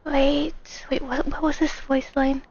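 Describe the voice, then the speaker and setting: A woman's voice: high-pitched, little pitch movement, slow, a little mellow. A woman chatting casually and a little hesitantly while livestreaming a game, on a dull lo-fi microphone. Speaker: game streamer. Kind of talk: casual livestream chat over gameplay. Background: faint game music.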